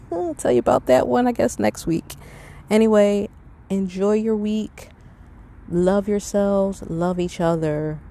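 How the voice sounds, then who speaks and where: A woman's voice: mid-range voice, slow pace, gravelly, mellow. A middle-aged woman hosting a podcast alone, talking off the cuff in a candid, rambling way and giving emphatic advice. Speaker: podcast host. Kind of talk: casual unscripted solo podcast monologue.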